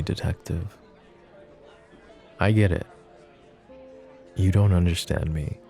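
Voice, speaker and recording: A man playing a flirty, possessive mafia boss in a roleplay ASMR drama, smooth-talking, teasing and protective. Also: low-pitched, dark tone. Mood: earnest, frustrated. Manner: smooth-talking, teasing, pet names, sighs.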